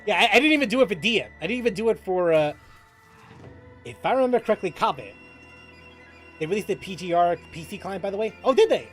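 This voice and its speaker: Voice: medium pitch, quick pace, pauses often. A gaming streamer chatting casually and joking, with mild swearing, while reading chat and commenting on play. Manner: animated, joking, mildly profane, reads chat aloud. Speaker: gaming livestreamer. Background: background music.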